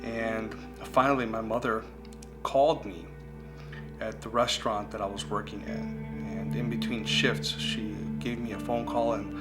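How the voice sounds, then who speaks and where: A man's voice: on the low side, flat delivery, normal speed. A man in his forties, Greek as his first language, earnestly telling his personal recovery testimony in a reflective way. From United States. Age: 40s. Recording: guitar music playing underneath.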